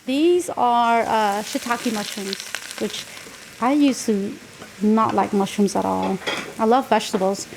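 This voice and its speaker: High-voiced woman chatting over kitchen noise. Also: measured pace, vocal fry. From Nashville, United States. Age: 40s. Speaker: home cook, interviewee. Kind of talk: casual storytelling while cooking. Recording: clicky, noisy kitchen field recording.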